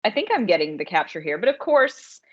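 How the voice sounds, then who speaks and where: A woman's voice: fairly low pitch, big pitch swings, fairly quick, breathy edge, lo-fi sound. A woman co-hosting a podcast about romance writing, chatting casually as an author.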